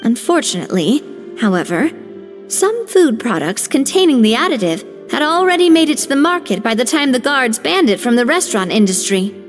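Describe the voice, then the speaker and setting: A calm former head chef from a video game, dignified and measured. Her voice sits high and moves with lively rises and falls. A long reverb follows it with faint music behind. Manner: composed, measured, firm.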